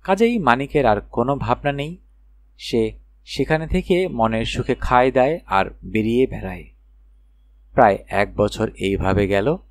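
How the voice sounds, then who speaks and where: A man narrating a children's fairy tale audiobook in an expressive storytelling style. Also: mid-range voice, unhurried, no echo.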